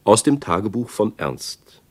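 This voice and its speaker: An actor playing a matter-of-fact announcer who gives clipped factual notes in a German radio play. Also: male voice, fairly low pitch, sing-song, a little slow. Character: matter-of-fact male announcer of place facts.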